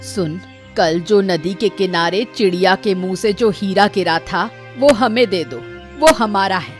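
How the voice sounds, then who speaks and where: A woman's voice: mid-range voice, measured pace, soft background music, natural voice. A woman voicing a greedy, bossy, scheming old village woman in a cartoon moral story. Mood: demanding.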